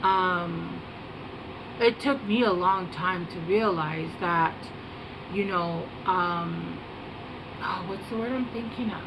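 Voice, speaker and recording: A woman giving a casual tarot reading to camera, soft-spoken and meandering, with many pauses and fillers. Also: on the low side, gravelly. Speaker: tarot reader. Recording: home recording with air-conditioner hum.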